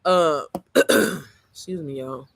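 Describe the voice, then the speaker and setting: A woman's voice: slow, creaky, a bit bright, no room sound. Chatty woman coach with a manly voice. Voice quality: low, manly-sounding voice. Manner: chatty, profane, calls the viewer girl and baby. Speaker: online business coach. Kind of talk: casual screen-share walkthrough.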